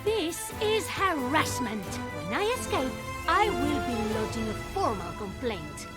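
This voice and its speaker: An actress playing a cocky, daring young swashbuckling heroine in a video game, self-assured, teasing and playfully dramatic. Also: female voice, sits high, very animated, a little slow, loud music behind the voice. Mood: wry, indignant. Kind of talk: lively video game cutscene acting.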